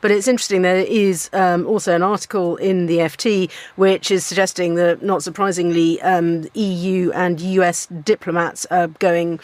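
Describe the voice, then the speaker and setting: A woman political journalist in a radio studio, calmly reviewing newspaper headlines. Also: on the low side, normal speed, hardly any pauses, no room sound. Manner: calm, even reading and commenting.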